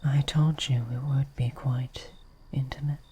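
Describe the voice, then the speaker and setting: A woman voicing a gentle but possessive vampire lady in an intimate roleplay, soft-spoken and often whispering, with formal, old-fashioned, coaxing phrasing. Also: a bass voice, little pitch movement, slight background noise. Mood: tender, possessive. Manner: formal, archaic, slow, coaxing.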